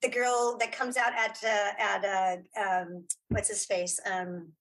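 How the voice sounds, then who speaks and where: A middle-aged woman chatting casually as an interview guest, telling anecdotes in an animated, emphatic way and searching aloud for names. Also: medium pitch, slow, rough recording. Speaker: interview guest, writer and director.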